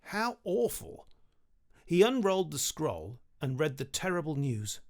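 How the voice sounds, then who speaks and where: A man's voice: fairly high pitch, very animated, normal speed. A British man, around his thirties, reading a children's story expressively into a close studio microphone. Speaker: author reading his book. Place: small home studio room, indoors. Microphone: studio condenser with pop filter, close.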